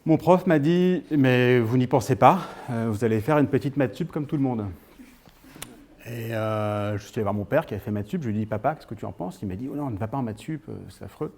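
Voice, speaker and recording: A man's voice: medium pitch, brisk, darkish tone. A man of thirty-nine, giving an informal personal talk to an audience in a hesitant storytelling manner. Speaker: guest speaker.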